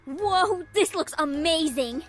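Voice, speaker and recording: Woman playing a high-voiced, blunt young friend. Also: lively intonation, slow pace, heavily acted. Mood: blunt, eager. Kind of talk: anime-style visual novel game acting. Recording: game music and jingles behind.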